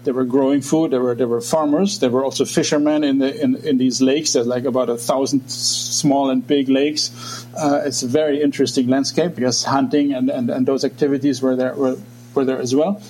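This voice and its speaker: A man in his forties from Germany with a German accent, speaking English as a second language, talking as a guest researcher in a thoughtful, halting way with many restarts. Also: mid-range voice, a little mellow, a little hiss or hum. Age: 40s. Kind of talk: interview talk.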